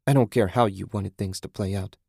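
A man voicing a furious, grieving woman in an audiobook, vengeful, forceful and profane as the character confronts someone. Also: on the low side, steady tone, a little fast, vocal fry, husky, dry recording, studio quality. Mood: furious, scornful.

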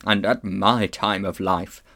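A man's voice: low-pitched, fairly even intonation, slow, vocal fry, pushed and bright, heavily acted. A man voicing a vain old uncle in a storybook, fussy, shaken and self-pitying. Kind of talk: audiobook character acting.

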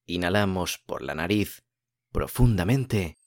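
A man narrating a guided meditation as host, speaking in a calm, soothing, unhurried way with long pauses. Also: low-pitched, dry recording.

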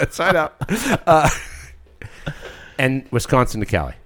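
A man's voice: medium pitch, big pitch swings, takes it slowly, a bit bright. A man hosting a podcast in casual, joking chat, often teasing and chuckling as he talks. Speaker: podcast host.

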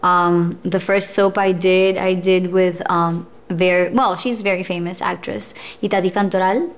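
Brief: young woman actress, reflective guest, unhurried and full of fillers. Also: fairly low pitch, steady tone. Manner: thoughtful, with frequent fillers and laughs. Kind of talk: casual interview chat.

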